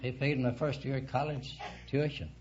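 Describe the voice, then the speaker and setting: A man in his eighties from Arkansas with an American accent, answering a quiz host in a deadpan, droll way. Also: mid-range voice, moderate pace. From Arkansas, United States. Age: 80s. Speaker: quiz show contestant. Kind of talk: interview answers on a radio show. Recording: dull old radio recording, faint noise.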